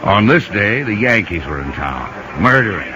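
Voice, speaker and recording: An actor playing a folksy, colloquial baseball coach who tells the story in a 1950s radio drama. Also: male voice, lowish voice, very animated, normal speed, noisy background. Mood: wistful storytelling. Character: gruff, folksy older male baseball coach telling the story. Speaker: narrator and supporting character. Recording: old radio broadcast with music behind.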